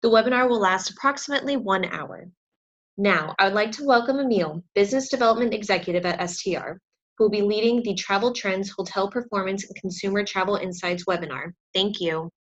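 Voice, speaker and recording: A woman's voice: mid-range voice, brisk, lo-fi sound. Woman reading webinar announcements. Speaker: webinar host. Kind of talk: scripted introduction.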